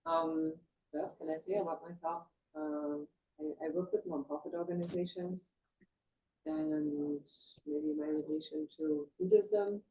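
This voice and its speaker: Chatty woman, gravelly, tinny sound. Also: fairly low pitch, measured pace. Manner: chatty, animated, thinking aloud with fillers. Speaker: parent, works with nonprofits. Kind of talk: casual group introduction.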